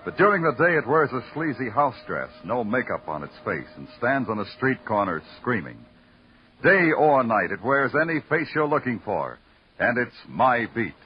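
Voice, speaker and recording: Hard-boiled American police detective male actor, world-weary and poetic, widely swinging pitch, dull vintage radio drama sound with music. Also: big pitch swings, lightly acted. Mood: brooding. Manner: brooding, poetic, deliberate delivery. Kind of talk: 1940s-50s radio noir acting.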